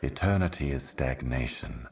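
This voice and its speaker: A man voicing an ancient, weary otherworldly envoy in a video game, delivering cryptic poetic monologues in a grave, brooding, measured manner. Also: very deep voice, lively intonation, gravelly, background noise, heavily acted. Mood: grave, ominous. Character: ancient solemn otherworldly envoy, weary eternal servant of cosmic darkness.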